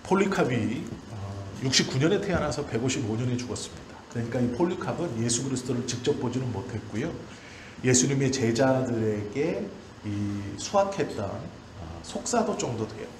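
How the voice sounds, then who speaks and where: A man in his forties delivers a sermon as a pastor, at a middling pitch. He builds his points in short, emphatic, repeated phrases. The room echoes noticeably around his voice, with faint background noise. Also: animated, moderate pace. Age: 40s. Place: church hall during a service. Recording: roomy church echo, faint background noise.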